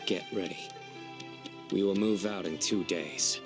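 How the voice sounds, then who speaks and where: A man voicing a stern, composed commanding officer in a video game, speaking in a terse, authoritative, deadpan way. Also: low voice, measured pace, music in the background. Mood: resolute.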